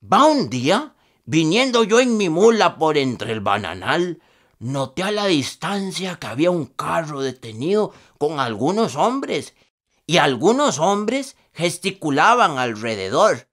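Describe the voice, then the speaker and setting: An actor playing a folksy, wry rural storyteller with a Costa Rican accent, narrating a radio drama in a colloquial, teasing manner. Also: male voice, a little high, very animated, unhurried, fairly bright tone, exaggerated voice. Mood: wry, animated. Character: folksy male rural storyteller, wry banana-country old hand.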